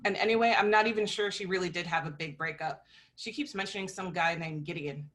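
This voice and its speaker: A woman acting a surly, bossy young woman in a bright, forceful voice. She scolds sharply, in a comedy screenplay read. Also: on the low side, brisk, close to an everyday voice. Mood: dismissive.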